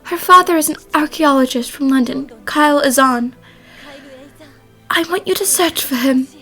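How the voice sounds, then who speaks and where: A woman playing a gentle, dying young mother who speaks haltingly and pleadingly in a dramatic fan dub. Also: high voice, soft background music. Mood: urgent, pleading.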